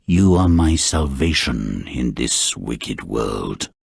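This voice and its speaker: A man's voice: rumbling low, sing-song, takes it slowly, creaky, breathy edge, no echo, clean studio sound, heavily acted. A man voicing a lovesick, menacing monster in a fairy-tale game, solemn, theatrical and formal in speech. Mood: pleading. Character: mournful, menacing male monster, lovesick man-eating beast. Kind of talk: video game fairy-tale cutscene acting.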